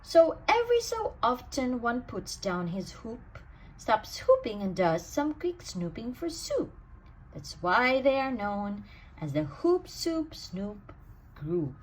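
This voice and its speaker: Lady reading a bedtime story real slow, bouncy sing-song voice. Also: on the high side, low-quality recording. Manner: expressive, sing-song rhyming delivery. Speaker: storybook reader. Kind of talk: bedtime read-aloud.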